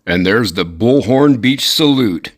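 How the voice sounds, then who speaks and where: Guy with a lowish voice talking you through technique, direct but encouraging, how-to video host. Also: lively intonation, unhurried. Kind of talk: instructional commentary.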